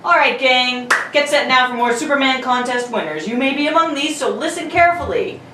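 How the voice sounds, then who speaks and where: A woman's voice: sits high, expressive, a little fast. A woman playing an upbeat announcer for a children's radio serial in a 1940s style. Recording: roomy, distant mic, faint noise.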